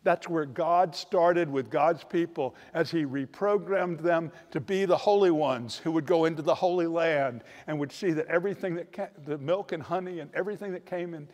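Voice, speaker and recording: An older man preaching a sermon with a storytelling manner, slowing down to stress key points, recorded in a large church on a handheld mic. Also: on the high side, darkish tone. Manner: storytelling, varied emphasis, slows for key points. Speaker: priest. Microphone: handheld microphone. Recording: long church reverb.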